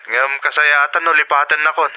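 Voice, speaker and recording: A man's voice: fairly high pitch, flat delivery, normal speed, narrow, tinny audio, close to an everyday voice. A man playing a callous, evasive sweetheart in a melodramatic audio drama. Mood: cold, scornful. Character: callous, evasive male sweetheart who scorns his lover. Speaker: drama actor.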